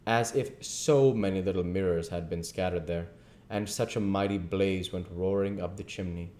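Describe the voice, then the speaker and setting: A man with a low-pitched voice reads classic fiction aloud. His storybook narration is deliberate and emphatic, phrase by phrase, with an amateur reader's occasional stumble. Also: mellow. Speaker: audiobook reader.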